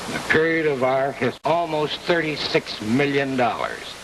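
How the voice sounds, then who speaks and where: An American man answering as an executive guest in a 1950s television interview, in earnest, measured short phrases. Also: mid-range voice, expressive. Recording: distant, noisy 1950s television broadcast.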